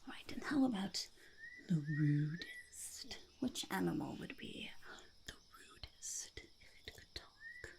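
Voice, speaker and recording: A woman voicing an eccentric, self-important goblin conducting a whispered ASMR interview, halting and fussy, with a creaky, gravelly voice. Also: medium pitch, huge pitch swings, exaggerated voice. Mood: curious, musing. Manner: halting, fussy, self-repeating, refers to self in third person. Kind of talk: ASMR roleplay, whispered and soft-spoken interview.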